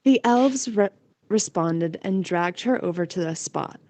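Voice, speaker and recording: A woman's voice: fairly low pitch, measured pace, a little mellow, no room sound. A woman narrating a scripted fantasy audio story in a storytelling voice.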